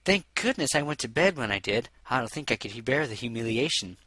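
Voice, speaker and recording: A man's voice: mid-range voice, lively intonation, brisk, sharp tone, no echo. A man voicing an elegant, theatrical female unicorn socialite with an affected, genteel manner. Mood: relieved.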